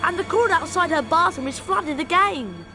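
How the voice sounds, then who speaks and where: A woman's voice: very high voice, lively intonation, moderate pace, bright, forceful tone, faint music behind, full character voice. A woman voicing an eager but wary young schoolboy sidekick in a video game. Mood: excited.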